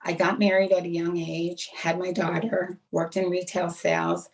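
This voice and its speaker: A woman's voice: on the low side, flat delivery, moderate pace, gravelly. A middle-aged American woman telling her own story as a podcast guest, candid and earnest. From United States, Massachusetts and Florida. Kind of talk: reflective personal storytelling in an interview. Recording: voice distant from the mic.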